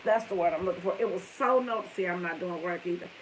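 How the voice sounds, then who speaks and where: A woman's voice: fairly low pitch, fast talker, a little hiss or hum, poor audio. A woman in her fifties tells a story to her viewers in a chatty, rambling way, often breaking off to talk to her family. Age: 50s. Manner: chatty, wanders off topic, talks to viewers and family. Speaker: live streamer telling a story.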